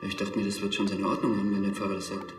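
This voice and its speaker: A man's voice: low-pitched, level tone, very fast, music in the background, lightly acted. An actor playing a taciturn, solemn man in the funeral trade in a TV crime comedy, speaking tersely in an echoey hall. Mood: matter-of-fact. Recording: echoey hall, long reverb.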